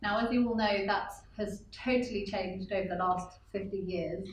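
Thirties female panelist, clear and explanatory; echoey conference room, faint hiss. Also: mid-range voice, fairly even intonation, normal speed, distant mic. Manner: clear and explanatory, with frequent ums. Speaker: panel speaker, lawyer. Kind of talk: expert presentation. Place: conference room with large windows.

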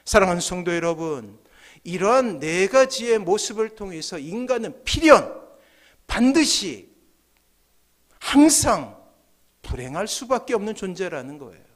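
The man at the pulpit on a Sunday morning, pitching his voice high over the pews, dropping it low for effect and then pressing each point home. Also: sing-song, unhurried, long pauses. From South Korea. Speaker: pastor. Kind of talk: sermon. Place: church sanctuary, at the pulpit. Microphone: gooseneck podium microphones.

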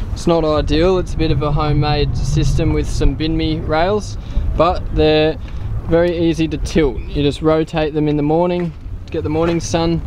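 Relaxed man touring his sailboat. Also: fairly high pitch, slight background noise. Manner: relaxed, explanatory, many fillers. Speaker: sailing vlog host. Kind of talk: casual boat tour walkthrough. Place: outdoors on a sailboat deck.

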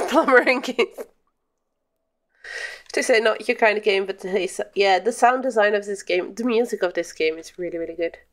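A young woman streams a game from her room. She talks casually to her viewers in a playful, exclamatory way, with a middling pitch. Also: normal speed. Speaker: livestreamer. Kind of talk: casual gaming stream chat.

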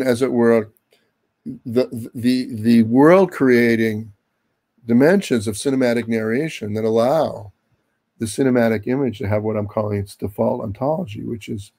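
A man's voice: mid-range voice, a little mellow. A man in his sixties giving an extemporaneous academic talk on a video call, discursive and full of hedging asides. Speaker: academic speaker. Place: book-lined study at home, indoors. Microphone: computer mic on a video call.

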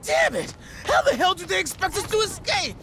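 A man's voice: very high-pitched, huge pitch swings, normal speed, full character voice. A man playing an angry, panicked townsman desperate to escape in a video game.

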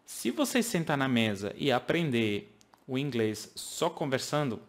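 A man's voice: medium pitch, sing-song, a little slow. Man, 30s, English-speaker accent, earnest teacher, home office webcam talk. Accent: English-speaking foreigner speaking Portuguese. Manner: earnest, explaining with hand gestures, makes grammar slips. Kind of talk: casual video lesson to camera.

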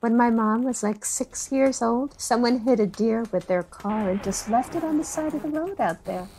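A woman's voice: a little high, moderate pace, warm tone, close to an everyday voice, rough recording. A woman playing a chatty small-town niece reminiscing about her uncle in a folksy, rambling documentary interview. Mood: fond reminiscing. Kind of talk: acted documentary interview, conversational.

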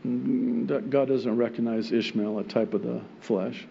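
A middle-aged male pastor, Arabic his first language, preaching into a pulpit microphone on an indoor church stage. His voice sits at a middle pitch and the recording is a bit dull, with faint room noise behind. He talks in a lively way, often chuckling as he acts out imagined replies from God. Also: slow, vocal fry. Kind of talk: sermon.

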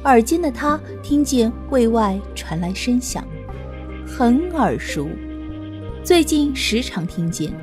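A woman narrates a novel in a high, very animated voice. Her storytelling is scripted and moves freely up and down in pitch. Faint music plays behind her. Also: slow. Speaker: audiobook narrator.

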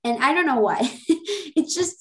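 Young Mexican woman, Spanish native, American-accented English, light higher voice, frank and chatty on a video call. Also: expressive, normal speed, fairly bright tone, soft background music. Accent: American English with slight Mexican touches. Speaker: guest. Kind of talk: casual video call chat. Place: small room indoors. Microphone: laptop webcam on a video call.

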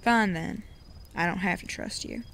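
A woman playing a gruff, stubborn young male deputy in a Western audio drama, curt and grumbling. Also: lowish voice, a little slow, fairly bright tone. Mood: wary, grumbling.

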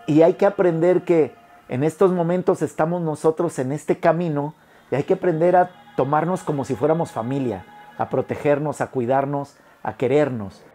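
A middle-aged man speaking calmly and reflectively in a documentary interview, recorded on a lapel mic indoors. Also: on the high side, normal speed. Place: indoor shelter room. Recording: soft guitar music behind.

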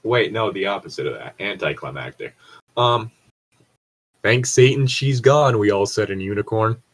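Exasperated man with a husky voice. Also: lowish voice, animated, normal speed. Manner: exasperated, profane. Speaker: co-host reading fan fiction aloud. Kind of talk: casual comedic banter and commentary.

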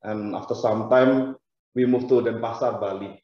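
A man in his forties with an Indonesian accent, giving a webinar presentation in a careful, halting way with many pauses. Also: lowish voice, fairly even intonation. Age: 40s. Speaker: guest lecturer. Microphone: video call. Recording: echoey, dull video call audio.

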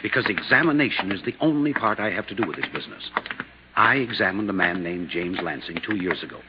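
On a noisy, muffled old radio recording, a testy physician. He talks fast and emphatically, defending himself with open irritation in a vintage drama style. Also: sits low, lively intonation. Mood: irritated. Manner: testy, emphatic, put-upon. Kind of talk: 1950s radio drama acting.

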